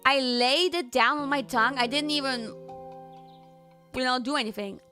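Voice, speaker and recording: A woman streamer telling stories in casual chat, animated, jumping to squealed quotes. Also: sits high, normal speed, faint music behind. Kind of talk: casual chat, recapping a trip.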